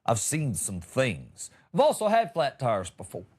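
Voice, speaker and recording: A man's voice: mid-range voice, wildly swooping pitch, unhurried, a little mellow, husky. A middle-aged American man hosting a comedy podcast, riffing in a wry, exaggerating monologue and acting out lines. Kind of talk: humorous monologue on news stories.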